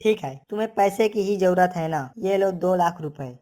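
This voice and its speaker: A generous village merchant man, voiced by a woman in a cartoon tale. The voice is lowish, with a reassuring, brotherly way of talking. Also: moderate pace, dark tone, close to an everyday voice.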